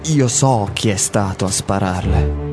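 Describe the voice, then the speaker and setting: A man voicing a grieving father in a short scripted radio drama, speaking in a low, heavy, subdued way. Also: moderate pace, over music, close to an everyday voice. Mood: grave. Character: grieving father, middle-aged man, certain of the killer.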